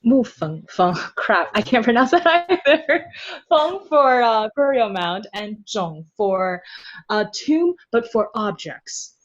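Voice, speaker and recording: A woman's voice: a little high, lively intonation, takes it slowly. Playful woman guest on dull lo-fi audio. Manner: playful, giggly, thinking aloud. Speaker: podcast guest, translator. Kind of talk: casual interview chat.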